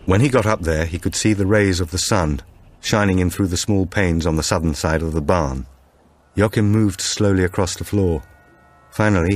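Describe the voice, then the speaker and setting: Deep-voiced male narrator, slightly husky. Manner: measured storytelling. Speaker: audiobook narrator. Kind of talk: scripted narration of a suspense novel.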